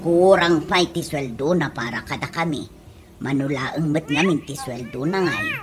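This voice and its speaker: An actress playing a hard-up, complaining older sister and wife in a comedy radio drama, with an Ilocano accent and a plaintive delivery. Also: female voice, low voice, moderate pace, close to an everyday voice. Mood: grumbling. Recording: noisy radio drama recording.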